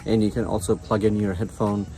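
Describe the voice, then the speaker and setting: A man's voice: lowish voice, steady tone, brisk, warm tone, soft background music. A man in his thirties giving a casual product review outdoors, explaining features conversationally and repeating himself. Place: outdoors on a residential front porch.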